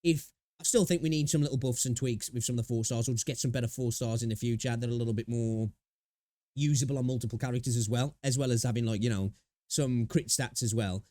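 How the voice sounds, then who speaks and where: Fast-talking, chatty man. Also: mid-range voice, no room sound. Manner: chatty, excitable, curses casually. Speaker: channel host reacting to a video. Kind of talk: casual reaction commentary.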